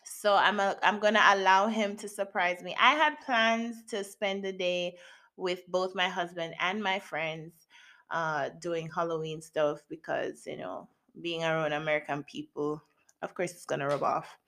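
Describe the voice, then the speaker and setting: A woman's voice: fairly low pitch, steady tone, measured pace, pushed and bright. A woman in her late twenties hosting her own podcast, chatting candidly and playfully with frequent laughs. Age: late 20s. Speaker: podcast host. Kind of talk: casual solo podcast chat. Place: home in Japan.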